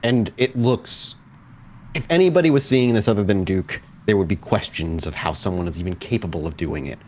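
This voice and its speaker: A man with a fairly low voice runs a tabletop roleplaying game. He improvises scene descriptions in detail and talks to the players in an accommodating way. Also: a little fast, a little hiss or hum. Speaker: game master of a vampire tabletop roleplaying podcast.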